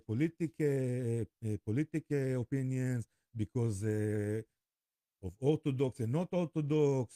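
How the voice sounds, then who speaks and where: Slow, dark-voiced Israeli man, halting delivery. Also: mid-range voice, no room sound, clean studio sound. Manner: halting, with frequent pauses and repetitions. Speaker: spiritual vlogger. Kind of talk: rambling informal talk to camera.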